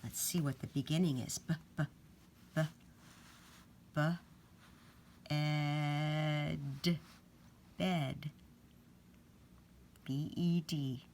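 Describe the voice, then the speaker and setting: Female early-years teacher, low register, unhurried, deliberate enunciation for read-aloud and phonics, slight background noise. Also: steady tone, gravelly, a little mellow. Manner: sounds out letters and words deliberately. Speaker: teacher reading to young children.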